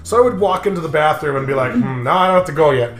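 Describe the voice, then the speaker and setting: A young American man chatting casually as a game co-host, telling rambling stories with sarcastic, dark humour. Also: on the high side, lively intonation, rapid-fire. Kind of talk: casual joking chat, storytelling. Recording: roomy echo, faint music behind.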